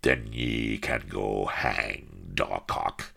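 A man's voice: rumbling low, lively intonation, takes it slowly, sharp tone, full character voice. A man voicing a huge, hairy, roguish pirate captain in a storybook, with a wheezy growl and bawled archaic oaths. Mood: raging. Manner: bawling, oath-laden archaic pirate talk.

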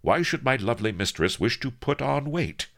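Brief: male reader as smooth-talking storybook captain, cunning, mock-humble suitor, flowery archaic phrasing, deep and animated. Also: measured pace, exaggerated voice. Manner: flowery archaic courtly speech.

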